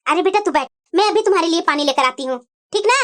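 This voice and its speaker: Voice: very high-pitched, lively intonation, quick pace, noisy background. A performer voicing a doting, scheming middle-aged mother-in-law in a dramatic family cartoon, drawing out the coddling and complaints. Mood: doting, coaxing. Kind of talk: Hindi family moral-story cartoon voice acting.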